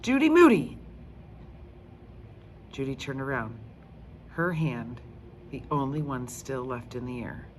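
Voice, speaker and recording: A woman reading a children's book aloud in an expressive, emphatic storytelling style. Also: very deep voice, a little hiss or hum. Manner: animated, emphatic, deliberate character reading.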